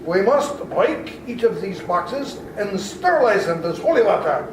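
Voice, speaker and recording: A man playing an earnest old vampire-hunting professor in a live radio play, speaking gravely and urgently. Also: sits high, big pitch swings, a little slow, noisy background. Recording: roomy hall with music behind.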